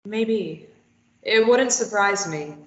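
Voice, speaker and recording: A woman's voice: mid-range voice, lively intonation, takes it slowly. A woman reading the part of a bitter, defensive young woman in a table read of a stage play, snapping out short retorts. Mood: curt. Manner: curt, sharp retorts. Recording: dull lo-fi, echoey room.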